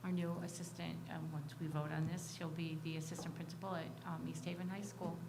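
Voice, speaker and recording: Woman board member, loud lower voice, hesitant delivery, table mic, noisy room. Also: level tone, a little fast. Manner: hesitant, many fillers. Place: public meeting room. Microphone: table microphone. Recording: table mic with background noise.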